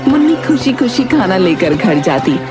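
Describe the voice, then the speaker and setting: Lively woman storyteller over music. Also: medium pitch, normal speed. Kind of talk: scripted narration of a children's moral story.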